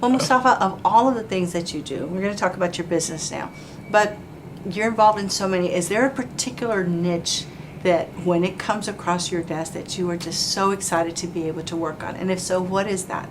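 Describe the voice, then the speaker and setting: Woman host gushing over her guest, lowish voice, hiss in the background. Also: brisk. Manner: effusive, praising, fond of "you know" and "like". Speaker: interview host, chamber of commerce president. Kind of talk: warm formal interview.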